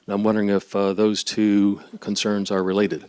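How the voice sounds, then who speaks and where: A man politely and haltingly putting an unscripted question to a panel from the audience. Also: fairly low pitch, completely flat delivery, dark tone, clear, resonant tone. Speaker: audience member asking a question.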